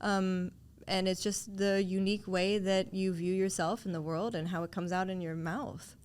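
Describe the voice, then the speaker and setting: A woman who works as a voice coach, explaining ideas and exercises in a clear, instructive way during a casual conversation. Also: mid-range voice, steady tone, normal speed, a little mellow. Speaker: voice coach, guest.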